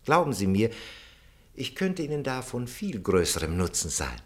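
A man's voice: medium pitch, big pitch swings, normal speed, natural voice. A man playing a fawning, scheming minister. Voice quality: obsequious, sly, chuckling. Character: scheming corrupt male education minister, fawning. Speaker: minister Branesco. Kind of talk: 1950s-60s radio play acting.

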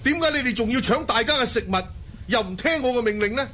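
A man's voice: extremely high pitch, animated, fast talker, sharp tone, a little hiss or hum, heavily acted. An actor playing a determined, proud young male clan leader with a Cantonese accent in an audio drama. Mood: angry, indignant.

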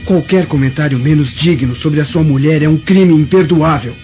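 An actor with a Brazilian accent playing a tormented, noble Roman brother, solemn and declamatory in radio drama style. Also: male voice, fairly high pitch, normal speed, dark tone, natural voice. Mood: wounded, earnest. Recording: muffled radio drama with music.

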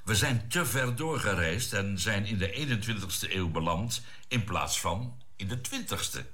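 An astronaut with a deep yet bright, forceful voice , acted by a man. He is an earnest crew member in a radio play, laying out explanations with a clear storyteller's purpose. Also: expressive, a little slow. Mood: explaining. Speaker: actor in a science fiction audio drama.

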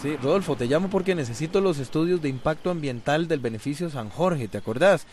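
A man's voice: fairly high pitch, animated, brisk, a little mellow, slight background noise, close to an everyday voice. An actor with a Costa Rican accent playing a businesslike man in a radio soap. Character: businesslike man making an office request. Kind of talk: radio soap opera acting.

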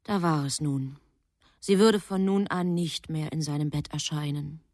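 A woman narrating a literary radio drama from a script in a composed, measured storytelling manner. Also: low voice, steady tone, darkish tone, no room sound, studio quality.

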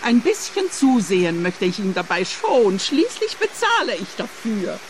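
A woman's voice: mid-range voice, huge pitch swings, a little slow, over music, poor audio. An actress playing a haughty, scheming former landlady in a radio play, prim and cutting.

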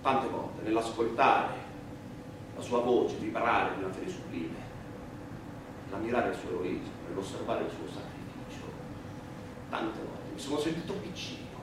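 A man's voice: on the low side, normal speed, lightly acted. Impassioned condemned prisoner played by a man, echoey hall. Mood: tender, pained. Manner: emphatic repetitions, rhetorical oratory. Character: impassioned condemned prisoner addressing the court, defiant and wounded. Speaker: stage actor. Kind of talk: theatrical monologue and dialogue in a stage play for radio. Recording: roomy, noisy, echoing distant stage recording.

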